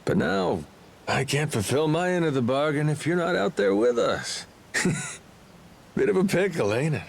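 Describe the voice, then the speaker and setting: Roguish tough guy, gravelly, lively intonation, cocky but kind, game acting, faint background noise. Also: on the high side, normal speed. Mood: persuasive. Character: brash, roguish amnesiac adult man, cocky but sympathetic.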